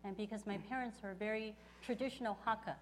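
A woman working as a church interpreter, relaying a testimony phrase by phrase in a halting delivery. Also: mid-range voice, fairly even intonation. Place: church service.

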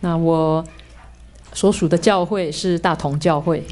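Young woman from Taiwan, low voice, measured church testimony between interpreter turns. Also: steady tone, darkish tone. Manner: measured, pausing for translation. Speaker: theology student giving testimony.

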